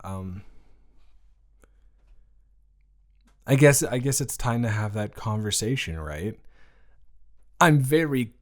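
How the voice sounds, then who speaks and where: A man playing a smitten, playfully dramatic boyfriend in an improvised romantic audio roleplay, teasing and repeating his words haltingly. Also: lowish voice, a bit bright. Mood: soft, teasing, reassuring.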